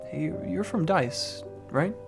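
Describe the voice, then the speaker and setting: A downcast young rookie policeman voiced by a man, earnest and soft-hearted. He talks very slowly and haltingly, audio drama style, with music clearly audible behind him. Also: high-pitched, animated, exaggerated voice. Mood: shy, hesitant.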